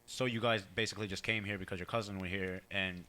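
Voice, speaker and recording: This podcast host from Connecticut talks in a lowish voice with a bright edge. He keeps the chat loose and jokey and pads almost every sentence with "like.". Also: fairly even intonation, fast. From Connecticut, USA. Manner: joking, filler-heavy, lots of "like". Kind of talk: casual chat.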